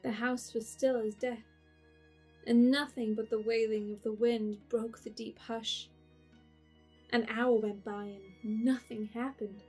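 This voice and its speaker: A woman narrates a period story in measured storybook style. Her voice sits fairly high, with faint music beneath it. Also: a little mellow, breathy edge, low-quality recording. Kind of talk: scripted narration.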